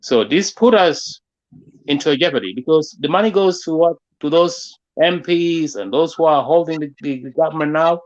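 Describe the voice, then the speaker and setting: A man's voice: a little high, moderate pace. South Sudanese man, muffled recording. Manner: discursive, uses "you know" often. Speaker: interviewer, forum host. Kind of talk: unscripted political discussion.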